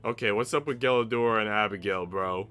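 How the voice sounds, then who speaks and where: A man's voice: fairly low pitch, normal speed, bright, forceful tone, clean tone. A man streaming a visual novel, mixing slangy, casual reactions with reading the narration aloud. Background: game music.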